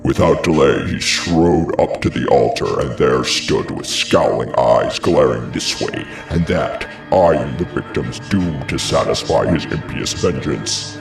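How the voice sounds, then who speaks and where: An actor playing a grave, horrified messenger in a classical tragedy, declaiming a dramatic account in a gravelly voice. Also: male voice, extremely low pitch, expressive, a little slow, few pauses, reverberant, distant mic, exaggerated voice. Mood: horrified, solemn. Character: horrified royal messenger recounting a ghastly sacrificial murder. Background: music.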